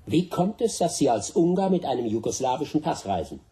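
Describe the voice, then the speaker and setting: Radio drama: French commissioner man acting, mellow timbre, curt, sardonic, impatient questioner. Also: medium pitch, big pitch swings, a little fast, close to an everyday voice. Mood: stern, suspicious. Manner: curt, sarcastic, interrogating.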